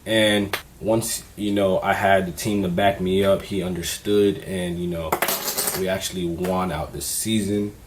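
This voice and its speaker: A young man with a fairly low voice talks casually to camera, candid and easygoing, full of "you know" fillers. Faint noise sits behind him in the recording. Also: measured pace. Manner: relaxed, candid, conversational with frequent fillers. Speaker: vlogger. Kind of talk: casual vlog advice.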